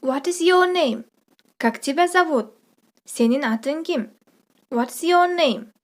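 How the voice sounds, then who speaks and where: A woman with a high voice hosts a language lesson. She speaks as a teacher, setting out short phrases and their translations, then repeating them with care for learners. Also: lively intonation, slow pace. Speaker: language teacher, channel host. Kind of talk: scripted trilingual language lesson.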